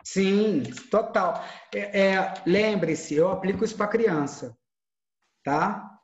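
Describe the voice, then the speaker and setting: A man's voice: sits high, slow. Male acting teacher in an echoey call. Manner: explains with examples, many restarts. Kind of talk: teaching an online class. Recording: dull echoey sound.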